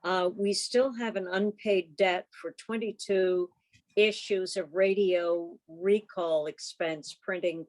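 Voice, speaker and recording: A woman chairing an informal club meeting on a video call, talking and reading reports in a halting, conversational way. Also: lowish voice.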